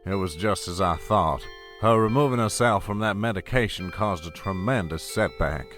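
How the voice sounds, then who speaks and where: Commanding family patriarch, low voice, formal and protective, for audio drama. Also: male voice, normal speed, a bit bright, soft background music. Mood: grave. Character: stern, protective patriarch father of grown daughters.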